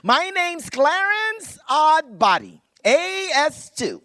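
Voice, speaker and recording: A man plays a quaint old angel in a radio drama. The voice is high, dry and lively in pitch, with an innocent, cheerful eagerness. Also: slow pace, dry recording, heavily acted. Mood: earnest, chirpy. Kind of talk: live radio play acting.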